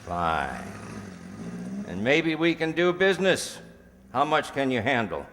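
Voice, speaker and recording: A man's voice: mid-range voice, big pitch swings, unhurried, a bit bright. Man playing a wary drug dealer, swooping intonation, echoey hall. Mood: probing. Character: wary, smooth-talking male narcotics dealer. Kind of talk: radio crime drama acting. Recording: echoey hall, faint noise.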